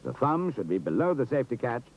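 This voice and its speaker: A man's voice: medium pitch, big pitch swings, quick pace. A British man giving formal, precise, authoritative scripted narration for an instructional film. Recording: dull, muffled 1970s film soundtrack.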